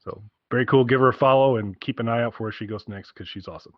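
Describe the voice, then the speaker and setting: A man's voice: mid-range voice, fast, dry recording, muffled recording. A man co-hosting a casual podcast, talking in an enthusiastic, rambling way and repeating himself, recorded over an internet call. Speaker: podcast co-host.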